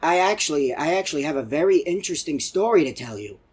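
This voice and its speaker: A man voicing a chatty, excitable elderly animatronic in a video game, telling folksy, rambling stories in a husky old voice. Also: on the high side, fast talker. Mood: excited reminiscing. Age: older. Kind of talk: rambling game character monologue.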